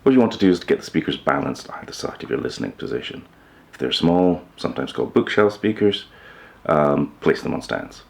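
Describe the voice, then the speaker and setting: Very deep, gravelly male presenter. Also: lively intonation, brisk. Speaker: host of a hi-fi upgrade video channel. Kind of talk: informal explainer to camera.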